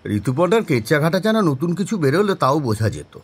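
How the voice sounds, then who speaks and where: A man's voice: on the high side, sing-song, a little fast, breathy edge. A male actor playing a skeptical police superior in a crime audio drama, with dry, sardonic authority. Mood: dry, pressing.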